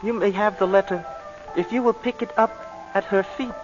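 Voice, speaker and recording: A man's voice: sits high, fairly even intonation, natural voice. An actor playing a polite, deferential male servant who guides visitors, in 1940s radio acting style. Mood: formal. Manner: formal, courteous, halting phrasing. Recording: dull old radio broadcast with music.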